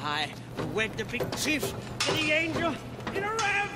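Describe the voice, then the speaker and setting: Game role: fanatical tinkerer, very high, animated, stammering zealot, theatrical and reverent. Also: male voice, measured pace, full character voice. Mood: nervous, manic. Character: manic, zealous hunchback mechanic who worships an engine angel. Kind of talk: video game character acting.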